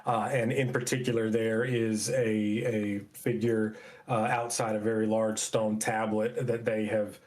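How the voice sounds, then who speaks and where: A man improvising narration as game master of a tabletop game, in a halting manner as he searches for words. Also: fairly low pitch, flat delivery, darkish tone.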